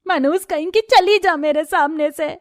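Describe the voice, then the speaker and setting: A woman's voice: extremely high pitch, moderate pace, dry recording, studio quality, pitched above the performer's own voice. A woman voicing a harsh, domineering older mother-in-law who scolds, taunts and accuses in a Hindi family story. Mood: furious, grieving.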